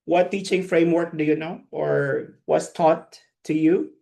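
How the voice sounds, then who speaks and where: Gravelly Filipino man teaching. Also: on the high side, steady tone, measured pace, warm tone. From Philippines. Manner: conversational lecturing, asks trainees questions. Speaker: ESL teacher trainer. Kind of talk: live online training session.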